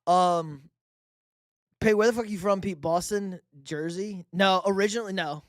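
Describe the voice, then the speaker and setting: Male sports streamer, slightly high voice, dry close mic, loose and profane, riffing with chat. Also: normal speed, no echo. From New York. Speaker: livestream host. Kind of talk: casual live stream chat reading viewer questions.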